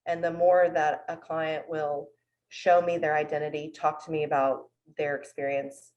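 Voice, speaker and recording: A woman's voice: sits low, little pitch movement, moderate pace, darkish tone, lo-fi sound. A woman therapist speaking humbly and thoughtfully about her clients on a panel. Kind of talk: panel discussion.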